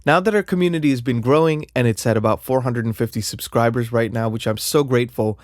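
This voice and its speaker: A man's voice: medium pitch, fast, no echo, clean studio sound. A man hosting a book channel, chatting casually and rambling with lots of filler words. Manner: rambling, many "kind of" fillers. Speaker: booktube channel host. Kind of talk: casual chat to camera.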